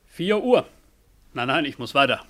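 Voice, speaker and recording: An actor playing a curt, by-the-book official messenger in a German radio play, speaking in clipped, terse phrases. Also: male voice, mid-range voice, very animated, normal speed, a bit bright. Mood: officious, impatient.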